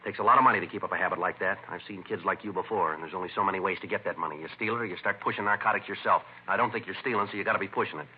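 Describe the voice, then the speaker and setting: An actor playing a stern, matter-of-fact police detective in a 1950s radio drama. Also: male voice, low-pitched, very fast, hardly any pauses, husky. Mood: stern lecturing. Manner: terse, matter-of-fact, authoritative. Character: stern, clipped, no-nonsense male police detective. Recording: muffled, noisy vintage radio recording.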